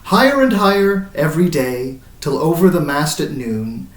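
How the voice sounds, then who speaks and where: A man's voice: fairly high pitch, big pitch swings, normal speed. A man voicing a haunted, grey-bearded old sailor who recounts his voyage in dramatic, incantatory verse that swells and falls away. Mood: grave, intense. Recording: roomy echo, distant mic.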